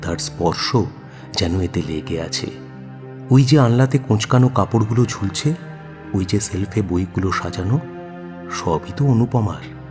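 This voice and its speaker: Animated, low-pitched male story narrator. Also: measured pace, long pauses, faint music behind. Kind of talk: expressive scripted story narration.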